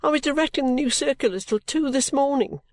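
A woman voicing a sulky, overworked young woman who complains abruptly and breaks into pitched outbursts. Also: brisk, a little mellow, no echo, close to an everyday voice. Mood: exasperated.

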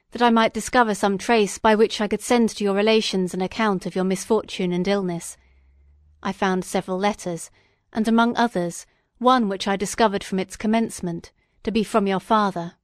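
A woman voicing a benevolent male magistrate in a storybook, measured and formal. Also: mid-range voice, fairly even intonation, no room sound, studio quality, close to an everyday voice. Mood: considerate. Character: benevolent male magistrate, gentle but sometimes severe.

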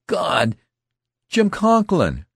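A man performs a distraught teenage soldier from a war storybook. The voice is pushed up high and husky, crying out and stammering in desperate anguish. It sounds close and dry. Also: huge pitch swings, very slow, a bit bright, no echo, exaggerated voice. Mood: horrified, anguished.

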